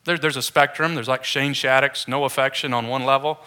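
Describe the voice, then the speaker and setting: A man's voice: medium pitch, steady tone, fairly quick, bright, forceful tone, clean tone. A man preaching a conversational sermon, informal and joking with his congregation.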